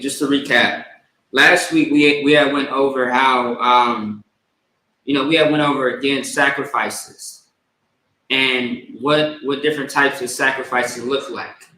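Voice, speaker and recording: A man's voice: medium pitch, steady tone, measured pace, sharp tone, slight background noise. A man leading an online religious service as a priest, teaching and often checking in with short tag questions. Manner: repeatedly checks in with "all right?" and "okay?". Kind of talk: religious teaching, live-streamed sermon. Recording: echoey room.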